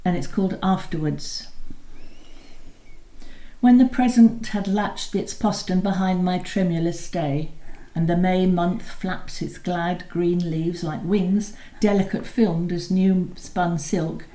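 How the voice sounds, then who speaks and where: Woman reading poems aloud, echoey room. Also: fairly low pitch. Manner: measured, expressive reading, slowing on key lines. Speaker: poetry reader. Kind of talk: poetry recital with spoken introductions. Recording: roomy echo.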